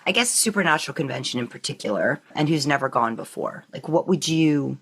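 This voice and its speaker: Low-voiced woman chatting as podcast host. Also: animated, fast, slightly rough. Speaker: podcast host interviewing a guest. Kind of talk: casual chat.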